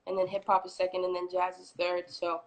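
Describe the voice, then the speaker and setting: A woman in her twenties from Florida, a singer answering interview questions in a relaxed, chatty way with many fillers. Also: lowish voice, fairly quick, low-quality recording. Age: 20s. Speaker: guest, singer. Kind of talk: casual interview.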